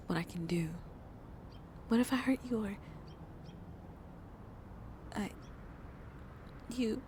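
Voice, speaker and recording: A woman playing a shy, lonely vampire in an intimate ASMR roleplay, speaking softly and hesitantly, often half whispering. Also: medium pitch, a little hiss or hum, natural voice. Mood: touched, nervous. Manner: slow, hesitant, halting, soft and breathy. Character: shy, lonely female vampire on a tender first date.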